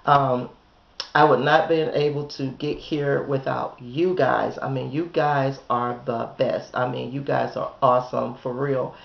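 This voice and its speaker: Slow, gravelly-voiced hoarse woman vlogger. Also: very deep voice, fairly even intonation. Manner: chatty, asks "okay?" often. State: hoarse from a chest cold. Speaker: home decorating channel host. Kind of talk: casual vlog chat. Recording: echoey room, dull lo-fi sound.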